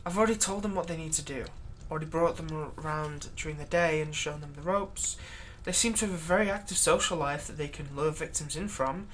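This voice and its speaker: A woman plays a vampire's long-suffering human thrall in an audio roleplay. The voice is on the low side for a woman, conversational and halting, with a sardonic, exasperated edge. There is some noise behind it. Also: lightly acted. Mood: persuading. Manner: conversational, halting, sardonic, emphatic when aggrieved. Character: long-serving human thrall, exasperated, pleading, turning menacing toward vampire master. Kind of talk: dramatic ASMR roleplay monologue.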